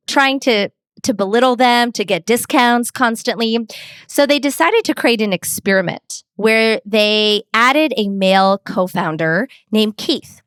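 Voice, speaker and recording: A middle-aged woman speaks in a smooth, resonant voice at a mid pitch, laying out her advice point by point with ease. Her delivery is confident and explanatory, and the sound is close and dry. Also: fairly bright tone, no room sound. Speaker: communications expert guest. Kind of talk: interview answers, advice with examples.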